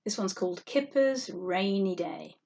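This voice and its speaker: A woman reading a picture book aloud to young children, animated and sing-song, drawing out words and asking questions. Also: mid-range voice, a little slow, gravelly, background noise. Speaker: storytime reader for young children.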